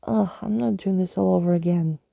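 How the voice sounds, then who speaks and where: A woman's voice: on the low side, steady tone, a little fast, vocal fry, warm tone, dry recording. A woman voicing a grumpy, scornful young girl who groans and grumbles in a storybook reading. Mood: grumbling.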